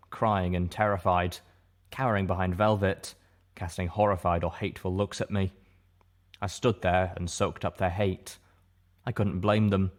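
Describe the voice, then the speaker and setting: Low-voiced man narrating a story. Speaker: audiobook narrator. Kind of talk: scripted first-person story narration.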